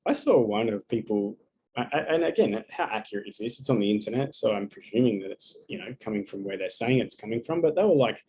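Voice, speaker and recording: A man's voice: lowish voice, a little mellow. A man giving a live astrology reading over a video call, talking casually and bluntly and swearing often.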